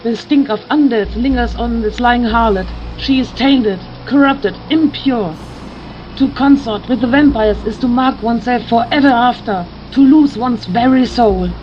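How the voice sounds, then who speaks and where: Woman acting a high-voiced, condemning religious zealot. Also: measured pace, lo-fi sound, a little hiss or hum, lightly acted. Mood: righteous disgust. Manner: preachy, condemning. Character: zealous, accusing female religious vampire hunter. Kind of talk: fan audio drama acting.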